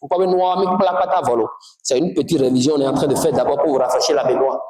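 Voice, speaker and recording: A man from Togo teaching a spiritual class in an animated, emphatic way, often putting questions to his listeners. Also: mid-range voice, rapid-fire. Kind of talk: lecture to a class. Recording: very echoey room.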